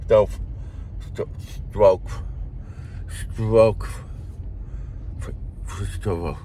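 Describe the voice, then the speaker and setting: A man's voice: fairly low pitch, fairly even intonation, very slow, dark tone, a little hiss or hum. A man whose mouth is numbed from dental work, talking with slurred words and laughing at himself. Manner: laughing at his own slurring. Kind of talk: casual video message.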